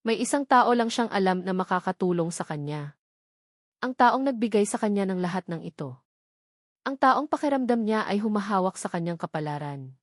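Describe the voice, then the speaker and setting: A woman's voice: mid-range voice, expressive, moderate pace, no echo, studio quality. Woman narrating a dramatic romance story.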